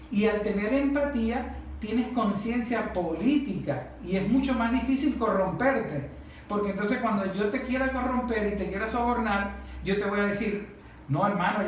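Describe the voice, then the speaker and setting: A Venezuelan speaker leading a group discussion in an emphatic, didactic political style, repeating phrases for emphasis. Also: mid-range voice. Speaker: discussion leader. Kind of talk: impassioned political talk to a group. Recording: very echoey room, faint noise.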